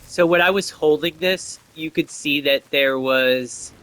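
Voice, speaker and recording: Bright-voiced man explaining a video game. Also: on the high side, measured pace, a little hiss or hum, poor audio. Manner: explanatory, thinking aloud. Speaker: gameplay commentator. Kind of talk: casual explanatory gameplay commentary.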